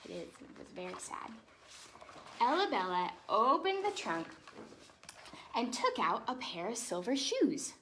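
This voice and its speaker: Female storytime host, higher-pitched and very animated, reading a picture book to kids with friendly explanatory asides. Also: a little slow, long pauses, slight background noise. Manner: expressive, lively, explaining asides to children.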